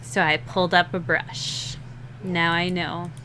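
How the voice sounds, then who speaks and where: Giggly woman with a rough voice. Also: lowish voice, little pitch movement, measured pace, sharp tone. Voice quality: slightly rough, scratchy voice. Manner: rambling, giggly, self-deprecating. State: sleep-deprived. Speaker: digital artist streaming a drawing session. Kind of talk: casual chat while drawing.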